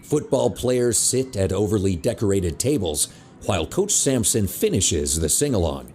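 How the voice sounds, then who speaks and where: A man's voice: on the low side, big pitch swings, normal speed, mellow, slight background noise. A man narrating a scripted teen audio drama as a wry storyteller. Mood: descriptive.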